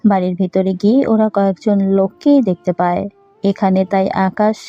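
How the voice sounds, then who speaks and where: A woman's voice: mid-range voice, little pitch movement, normal speed, mellow, highs cut off, soft background music. A woman narrating a scripted audio story.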